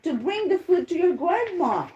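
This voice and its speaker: A woman's voice: very high voice, big pitch swings, moderate pace, mellow, highs cut off, a little hiss or hum, pitched above the performer's own voice. A woman voicing a sly, greedy old male wolf in a storybook tale. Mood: coaxing, sly.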